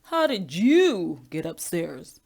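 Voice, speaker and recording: A woman voicing a gruff, puzzled male night watchman in a children's storybook, speaking slowly and emphatically. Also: lowish voice, very animated, darkish tone, exaggerated voice. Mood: surprised. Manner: emphatic, slow, questioning. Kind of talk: children's storybook read-aloud.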